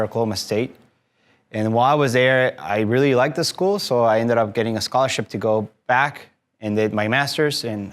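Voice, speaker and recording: A man in his thirties from Mexico, speaking as a guest to a live audience in a room, friendly and explanatory with frequent fillers. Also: medium pitch. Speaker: guest speaker, tour company owner. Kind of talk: conversational talk to a live audience.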